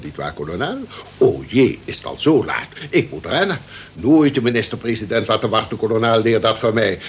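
An actor playing a suave, self-important senior government official in a radio play, with a patronizing manner. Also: male voice, deep voice, slight background noise.

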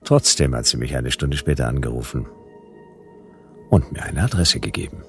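An actor playing a wry, world-weary private detective in a sci-fi radio drama, with laconic, deadpan narration and dialogue. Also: male voice, a bass voice, moderate pace, husky, soft background music, heavily acted.

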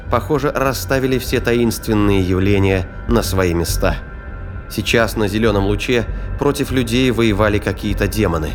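A man with a low voice narrates a sci-fi thriller. His scripted delivery is measured and grave, with dramatic weight. Faint music sits behind him. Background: faint background music.

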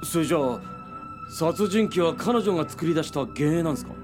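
A man's voice: on the high side, expressive, natural voice. An actor playing a brash young police detective who barks at his subordinates in a radio drama. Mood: respectful. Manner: blustery and quick to yell at subordinates. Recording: studio radio drama with faint music.

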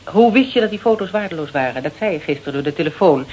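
A woman's voice: fairly low pitch, lively intonation, fast, a little mellow, slight background noise, lightly acted, poor audio. A woman playing a cool, tough private detective in a Dutch radio drama, terse and dryly probing, with a Netherlands accent. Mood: menacing. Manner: terse, dry, pointed questioning.

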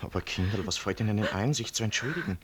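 An actor playing a smug, obsequious junior doctor in a radio play, with an Austrian accent and an unctuous, patronizing manner. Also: male voice, on the low side, animated, fast, noisy background, lightly acted. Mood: patronizing comfort. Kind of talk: 1960s German-language radio play acting.

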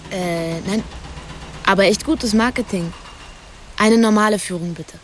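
A woman's voice: medium pitch, a little slow, a little hiss or hum, natural voice. An actress playing a reserved, pensive young woman in a radio drama, with naturalistic, dry delivery. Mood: hesitant, dry. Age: young adult.

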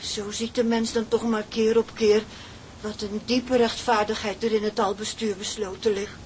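A woman's voice: a little high, flat delivery, moderate pace, darkish tone, a little hiss or hum, close to an everyday voice, poor audio. An actress playing an anxious, pious older mother of a seafaring family in a radio drama, fretful and devout. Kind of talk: Dutch radio drama acting.

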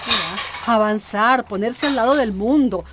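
Woman acting proud, high-voiced stubborn mother. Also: a little slow, background noise, natural voice. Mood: musing, insistent. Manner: emphatic, drawling key words, dismissive. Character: proud, stubborn, ambitious mother set on modern city ways. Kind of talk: radio soap opera acting.

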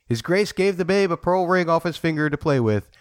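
Man, 40s, podcast host, lively up-and-down delivery, dry close sound, playful. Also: on the high side, a little fast, dry recording. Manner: playful, jokey, repeats words when starting a sentence. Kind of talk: casual book discussion.

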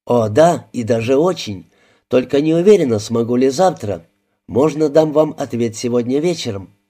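A man's voice: medium pitch, lively intonation, normal speed, mellow, close to an everyday voice. A man voicing a cool, wry young woman. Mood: polite. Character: cool, poised young woman, politely teasing.

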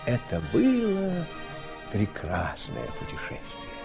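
A man's voice: sits high, wildly swooping pitch, slow pace, gravelly, over music. A man giving scripted fairy-tale narration for an audio play.

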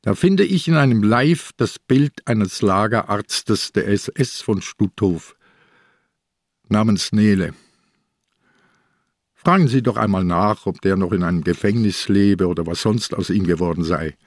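An old, ailing police detective in a crime radio play. His voice is low and close, with a shrewd, wry manner and deliberate delivery. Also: no room sound. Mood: wry, confiding. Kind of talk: radio drama acting.

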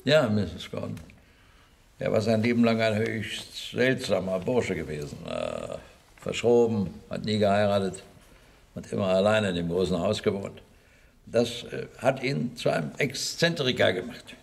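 Casting: grave, formal village gentleman, very deep creaky voice, radio mystery. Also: big pitch swings, measured pace, exaggerated voice. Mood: solemn. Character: solemn village gentleman explaining a dark secret. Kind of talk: German radio crime play acting.

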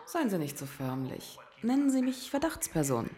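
An actress in a German radio crime drama playing a guarded, worldly call girl with a cool, sharp tongue. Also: medium pitch, sing-song, moderate pace, natural voice. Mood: wary, defiant.

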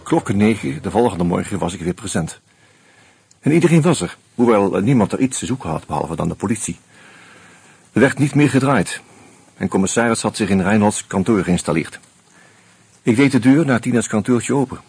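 Low, very husky, wry narrator. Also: male voice, lively intonation, fairly quick, darkish tone, no echo, exaggerated voice. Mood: subdued, reflective. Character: wry, weary man narrating his own murder mystery. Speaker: actor and narrator in a radio play. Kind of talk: first-person radio drama narration and dialogue.

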